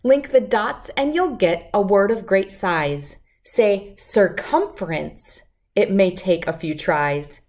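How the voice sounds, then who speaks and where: A middle-aged woman reading a rhyming picture book aloud to children in an expressive, deliberate, lilting way. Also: mid-range voice. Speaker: children's read-aloud host.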